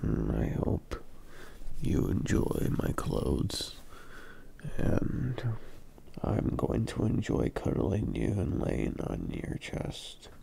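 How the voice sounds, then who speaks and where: A young man with an extremely low, gravelly voice murmurs drowsily into a close mic, unhurried and soft. His tone is dark and mellow, with a faint hiss underneath. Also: wildly swooping pitch. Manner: sleepy, soft, murmuring with many hums. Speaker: ASMR roleplay boyfriend. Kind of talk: ASMR boyfriend roleplay.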